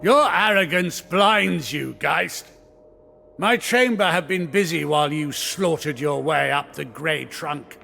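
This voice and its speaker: An actor playing a proud, defiant old battle wizard in a game, stern and declamatory. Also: male voice, on the high side, slow, vocal fry, sharp tone, soft background music, exaggerated voice. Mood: stern defiance. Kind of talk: video game cutscene acting.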